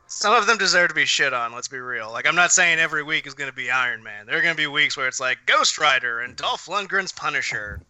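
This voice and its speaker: A man co-hosting a podcast in casual chat, telling things in an animated, sweary way. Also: a little high, quick pace, few pauses, dry recording, poor audio. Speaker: podcast co-host.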